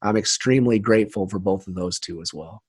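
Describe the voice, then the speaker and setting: A man's voice: on the low side, fast, darkish tone, breathy edge, no echo. A man speaking earnestly and motivationally as a guest in a conversational interview. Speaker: podcast guest, leadership trainer.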